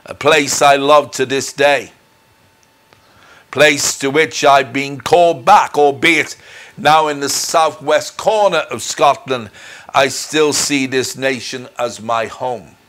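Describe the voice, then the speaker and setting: A middle-aged man giving a personal testimony as a minister, speaking in an earnest, deliberate, measured way. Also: medium pitch, fairly even intonation, a bit bright. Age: middle-aged or older. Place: indoors in front of a dark curtain. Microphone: lapel mic.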